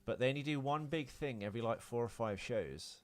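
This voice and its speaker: A man's voice: medium pitch, lively intonation, fairly quick. A man co-hosting a podcast, talking casually and off the cuff in rambling explanations. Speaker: podcast co-host. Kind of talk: casual unscripted film podcast chat.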